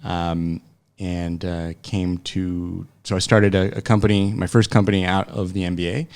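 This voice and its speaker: Very deep, creaky man from France. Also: flat delivery, darkish tone. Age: late 30s. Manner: halting, with frequent hesitations and restarts. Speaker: interview guest, startup founder. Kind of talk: conversational interview answers. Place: podcast studio.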